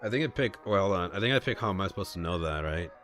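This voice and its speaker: A man's voice: low-pitched, expressive, racing, fairly bright tone. A man reading a story aloud on a casual livestream, with music behind him. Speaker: streamer reading a visual novel aloud. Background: background music.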